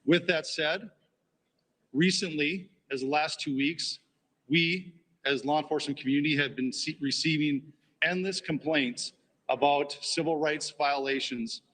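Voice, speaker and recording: A man, a police chief, reading a formal press statement in a measured, deliberate way. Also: fairly high pitch, a bit bright. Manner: measured, deliberate official delivery.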